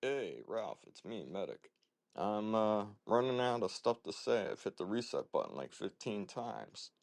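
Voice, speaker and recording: A man leaving a casual voicemail as a listener, talking haltingly in short clipped phrases. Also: fairly low pitch, sing-song, gravelly, no echo, clean studio sound.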